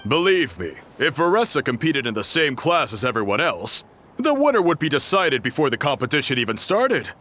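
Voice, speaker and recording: Animated, easygoing male contest organiser. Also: mid-range voice, a little fast, a little hiss or hum. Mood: amused, explaining. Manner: chatty, explanatory, good-humoured. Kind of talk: video game voice acting.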